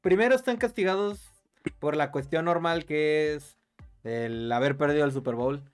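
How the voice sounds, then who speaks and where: A man's voice: fairly high pitch, normal speed, clean tone, faint music behind. A man with a Mexican Spanish accent co-hosting a sports podcast, giving casual, opinionated football analysis.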